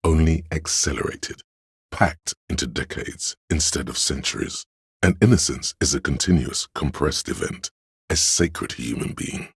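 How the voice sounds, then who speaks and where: A man voicing a grave, knowing encyclopedia inside a detective's mind in a video game, with measured, solemn, erudite narration and a gravelly, husky bass. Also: no echo, clean studio sound, exaggerated voice. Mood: grave, reverent.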